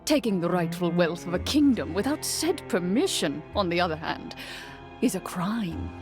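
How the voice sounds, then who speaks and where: A woman's voice: fairly low pitch, sing-song, normal speed, music in the background. A woman voicing a scheming male prime minister in a fantasy game story, with a formal, unctuous manner. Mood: smug. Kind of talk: storybook villain in a video game.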